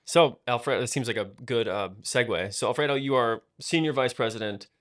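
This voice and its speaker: A man's voice: mid-range voice, fairly quick, fairly bright tone, no room sound, studio quality. Chatty man hosting a podcast. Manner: chatty, upbeat, full of fillers. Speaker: podcast host. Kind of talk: casual podcast chat.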